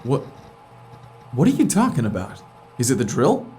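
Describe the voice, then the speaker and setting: An actor playing an irritable, defensive astronaut in a naturalistic sci-fi audio drama, curt and sarcastic. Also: male voice, medium pitch, wildly swooping pitch, normal speed, darkish tone, faint music behind. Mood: puzzled, urgent.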